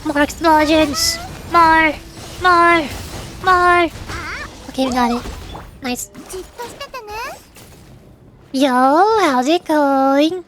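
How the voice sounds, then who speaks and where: A woman's voice: sits high, drawn-out words, long pauses, fairly bright tone. A woman streaming a game with casual live commentary, playful and theatrical, often in short exclamations. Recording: game music behind the voice.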